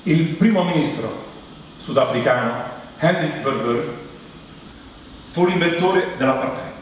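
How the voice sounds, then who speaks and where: A man delivering a theatrical comic storytelling monologue, deliberate, with repetitive build-ups and dramatic pauses. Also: fairly high pitch, lively intonation. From Rome, Italy. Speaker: stage monologuist. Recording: distant, very echoey hall, faint noise.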